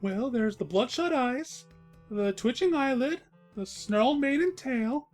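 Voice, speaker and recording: A woman's voice: high-pitched, lively intonation, measured pace, a little mellow, soft background music. A woman playing a small, cheeky young male dragon with a dry, teasing manner in a cartoon story reading.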